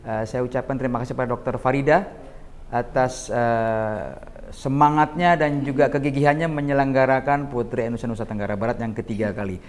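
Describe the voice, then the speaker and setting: A man's voice: mid-range voice, fairly quick, big-room sound, a little hiss or hum. A man hosting an interview and pageant session, chatty and mixing English phrases into his talk. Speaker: host.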